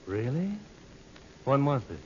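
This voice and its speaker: An actor playing a breezy, skeptical tourist, offhand and prying, in an old-time radio adventure serial. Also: male voice, mid-range voice, sing-song, slow. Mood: casual prying. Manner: offhand, teasing, probing. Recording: muffled old radio recording, noisy.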